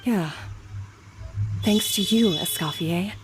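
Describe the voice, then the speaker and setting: A woman's voice: mid-range voice, takes it slowly, faint music behind, lightly acted. A woman playing a humbled young chef in a video game, subdued and hesitant in delivery. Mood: earnest relief. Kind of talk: English video game voice acting.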